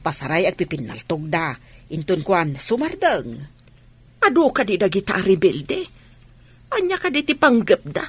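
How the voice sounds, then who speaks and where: A woman's voice: fairly low pitch, big pitch swings, normal speed, slightly rough. An actress with an Ilocano accent playing an anxious older village mother in a radio drama, fretful and plaintive.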